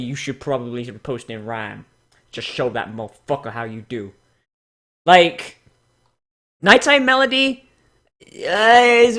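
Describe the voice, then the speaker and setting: A high-voiced man hosts in a loose, rambling rant. He swears freely and leans hard on his words, sounding exasperated as he makes his points. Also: fairly bright tone. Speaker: host of a dramatic reading show.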